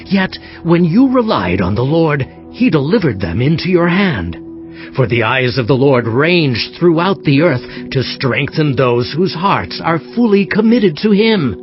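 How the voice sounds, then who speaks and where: A man's voice: fairly high pitch, sing-song, unhurried. A man playing a stern, indignant prophet delivering an emphatic rebuke in a dramatized scripture audiobook. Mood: stern, impassioned. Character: stern male seer rebuking a king, indignant. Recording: dull sound with faint music.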